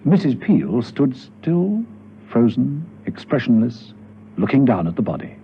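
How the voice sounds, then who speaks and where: A man's voice: mid-range voice, very animated, a little slow, vocal fry. Theatrical male narrator with swooping intonation. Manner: suspenseful, theatrical. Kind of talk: dramatic scripted radio narration. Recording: dull, hissy old radio recording.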